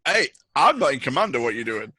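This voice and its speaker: A man's voice: mid-range voice, big pitch swings, brisk, pushed and bright, husky, dry recording. A man acting as game master, casually moderating a tabletop role-playing session.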